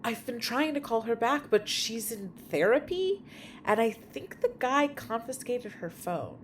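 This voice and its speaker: A woman's voice: on the high side, expressive, a little slow, slight background noise. A woman playing an anxious, easily exasperated woman in an audio drama, fretful in manner. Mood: frustrated.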